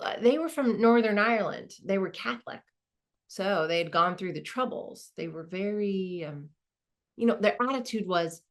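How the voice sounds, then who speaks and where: A woman performs as a reflective female lawyer in a dramatized monologue. She talks conversationally and anecdotally, with a wry streak, at a middling pitch. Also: close to an everyday voice.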